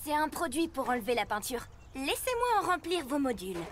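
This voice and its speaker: A woman's voice: high-pitched, big pitch swings, a little fast, background noise. A woman voicing an enthusiastic artist truck who teaches youngsters with exclamations in a children's cartoon. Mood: instructive, upbeat. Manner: instructive, exclamatory. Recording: music under the voice.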